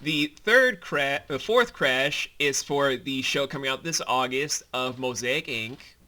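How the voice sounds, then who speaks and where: A man's voice: medium pitch, a little slow, bright, forceful tone. A man co-hosting a behind-the-scenes commentary as the creator, chatting casually and explaining things in an enthusiastic, rambling way.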